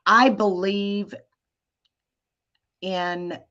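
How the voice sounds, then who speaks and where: A woman with a deep voice hosting a casual live chat, talking hesitantly with many restarts. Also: fairly even intonation, extremely slow, bright, forceful tone, clear, resonant tone, highs cut off. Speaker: livestream host.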